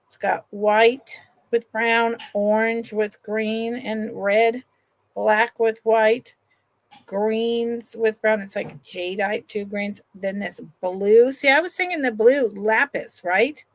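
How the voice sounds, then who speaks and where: Slow, relaxed woman hosting a live sale. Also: a little high, dry recording. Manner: chatty, unhurried, trails off and restarts sentences; affectionate to viewers, calls them honey and sweetheart. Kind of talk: slow, relaxed live-stream sales chat.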